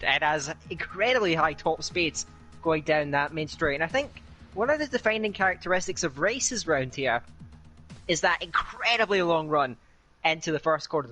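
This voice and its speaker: A young Scottish man commentating live on an online racing league, chatty and filling pauses with "uh". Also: fairly high pitch, bright, forceful tone. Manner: chatty, with frequent "uh" fillers and a quick laugh. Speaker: esports race commentator.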